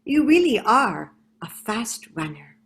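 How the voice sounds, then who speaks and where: A woman voicing a small, shy female beetle who speaks softly and hesitantly. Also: a little high, very animated, slow pace, heavily acted. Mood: timid. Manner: soft, timid, hesitant.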